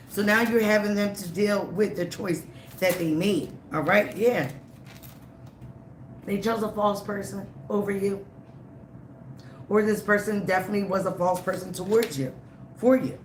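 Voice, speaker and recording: A tarot reader giving a live reading, emphatic and repetitive, slightly raspy from a cold. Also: medium pitch, echoey room, slight background noise, poor audio. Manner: emphatic, repeats phrases, frequent "okay?" and "all right?".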